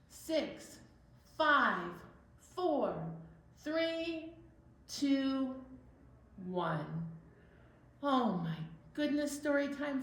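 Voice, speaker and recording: Lady doing kids storytime, really slow talking, higher voice, bit roomy sounding. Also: a bit bright. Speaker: children's storytime host. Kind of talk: interactive counting and chat for young children.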